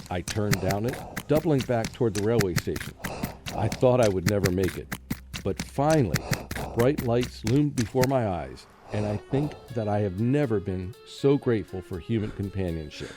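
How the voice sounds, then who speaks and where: A man playing a frightened college professor in a radio horror drama, with a brooding, halting, dramatic delivery. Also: on the low side, natural voice. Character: frightened haunted male college professor recounting a curse. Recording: music under the voice.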